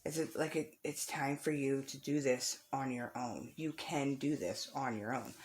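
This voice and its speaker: A woman's voice: extremely low pitch, unhurried, darkish tone. A woman reading tarot cards casually for the viewer, encouraging and reassuring, repeating phrases for emphasis. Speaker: tarot reader. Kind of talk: casual spiritual card reading, addressed to the viewer.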